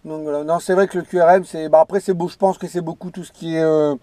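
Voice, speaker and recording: A man with a fairly high, slightly mellow voice, recorded close and dry. He chats casually as a CB radio operator, rambling and emphatically repeating his points. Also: fairly even intonation, fast, dry recording. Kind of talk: casual radio contact chat.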